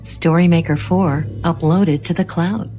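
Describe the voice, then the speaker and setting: A woman acts out a female AI software assistant for an audio drama, dark and soft-edged in tone. She speaks in formal, clipped phrases with detached courtesy, inside a long reverberant wash over faint music. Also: on the low side, steady tone, a little slow, natural voice. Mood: flat, detached. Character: emotionless female computer software voice assistant, coolly polite.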